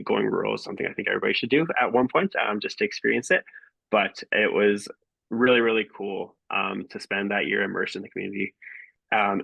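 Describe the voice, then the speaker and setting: A man's voice: on the low side, vocal fry, pushed and bright, no echo, low-quality recording. A young man, a senior medical student, giving a casual and enthusiastic personal account at an information session. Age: young adult.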